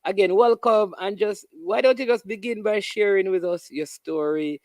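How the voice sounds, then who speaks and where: A man with a notably high voice hosts a casual sports interview. He asks eager questions with quick interjections. The sound is dry and close. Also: a little mellow, no echo. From Jamaica. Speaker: show host.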